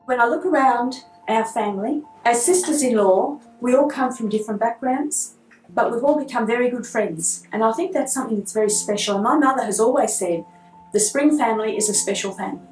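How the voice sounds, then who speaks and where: A woman speaking warmly and reflectively about her family in a casual interview. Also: on the high side, fairly quick, faint music behind. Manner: warm, reflective. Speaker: sister-in-law in the family. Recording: echoey room heard from far off.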